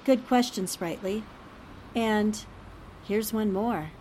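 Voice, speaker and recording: A woman playing an earnest, analytical newspaperwoman in old-time radio drama style, shaken but determined and deliberate in speech. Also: medium pitch, warm tone, slight background noise, close to an everyday voice. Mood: troubled, pondering.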